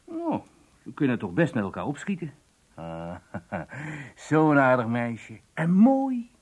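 An actor in a radio play playing a jovial, chatty travelling businessman with a husky voice and a conversational manner. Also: medium pitch, huge pitch swings, slow pace, darkish tone, full character voice. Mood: casual, admiring. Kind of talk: radio drama dialogue.

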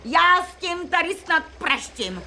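An actress in a radio play playing a fed-up, plain-spoken household servant who talks in a colloquial, complaining way. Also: female voice, very high-pitched, sing-song, sharp tone, slight background noise, heavily acted. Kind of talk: theatrical radio drama acting.

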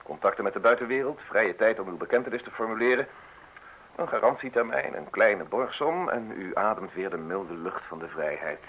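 An actor playing a suave, menacing justice official in a radio drama, speaking in measured, formal, insinuating phrases. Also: male voice, on the low side, animated, fairly bright tone, slight background noise, rough recording. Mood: smoothly persuasive. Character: suave, menacing male state justice official offering a deal.

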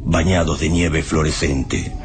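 A man with an Argentine accent playing the solemn narrator of an apocalyptic radio drama, grave and measured, with dramatic pauses. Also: extremely low pitch, steady tone, very noisy. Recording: loud music under the voice. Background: dramatic music.

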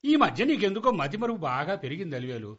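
A middle-aged husband in a radio comedy, high and sharp in voice. He grumbles, argues and nags in exasperation. The recording is dull and lo-fi. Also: very animated, fast, exaggerated voice. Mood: nagging. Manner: nagging, argumentative. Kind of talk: Telugu radio comedy play acting.

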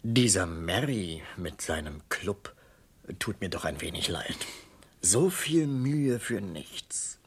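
An actor playing a sly, polite swindler with a dry, mocking courtesy in a 1970s radio crime comedy. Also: male voice, sits low, very animated, takes it slowly, slightly rough, exaggerated voice. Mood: mock pity. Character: sly, polite male swindler, gloating accomplice.

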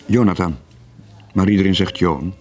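A low-voiced, middle-aged sailor, played by an actor, in a 1980s radio drama. He is gentle and laconic, answering in short, understated phrases over faint music. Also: normal speed, close to an everyday voice. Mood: friendly. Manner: terse, understated replies. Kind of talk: 1980s Dutch-language radio drama acting.

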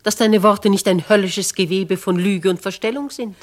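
A woman's voice: medium pitch, steady tone, natural voice. An actress as a stern, shrewd elderly noblewoman. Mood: grave, sceptical. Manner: measured, imperious, theatrical questioning with crisp emphasis. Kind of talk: 1950s German radio play acting. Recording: 1950s radio drama studio recording.

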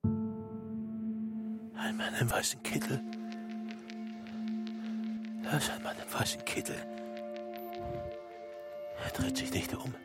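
An actor playing a tormented, broken prisoner in a radio drama, pleading and muttering in fragmented repetitions between whispers and outcries. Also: male voice, high-pitched, lively intonation, slow pace, long pauses, loud music behind the voice. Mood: dazed. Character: tormented male prisoner under torture, broken, delirious, pleading. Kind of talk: German radio drama acting.

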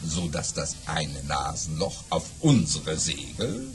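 Jolly bragging baron in a kids audio play, super animated, hushed then booming, savors every bit. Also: male voice, takes it slowly, crowd noise, full character voice. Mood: boastful, suspenseful. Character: boastful, jovial baron spinning tall tales over wine. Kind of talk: German children's audio play storytelling.

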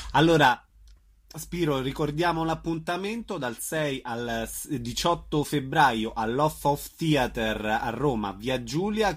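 A man hosting a radio programme, speaking in a conversational interview style. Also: medium pitch.

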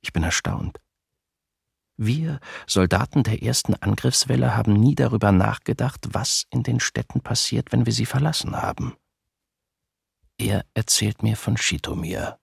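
Radio play soldier: low, husky, close and dry, restrained and shaken. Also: male voice, normal speed, dry recording, full character voice. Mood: sober, stunned. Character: male German soldier recounting killings, shaken, sober. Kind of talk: documentary radio play monologue.

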